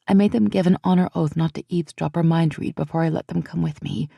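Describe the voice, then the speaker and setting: An earnest, steady young woman with a dark, mellow tone, as a woman narrator voices her. She speaks firmly, a little above the narrator's usual pitch. The recording is dry and close. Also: fast, gravelly, no echo, exaggerated voice. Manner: earnest and firm.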